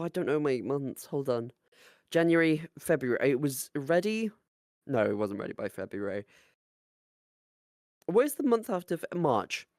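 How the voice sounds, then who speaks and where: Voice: mid-range voice, a little mellow, no room sound, studio quality. A giggly, self-mocking podcast co-host who swears casually while chatting.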